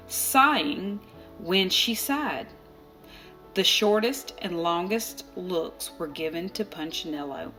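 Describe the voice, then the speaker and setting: A woman narrating a children's storybook aloud, expressively and deliberately, with dramatic pauses. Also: medium pitch, a bit bright. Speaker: storybook reader. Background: music.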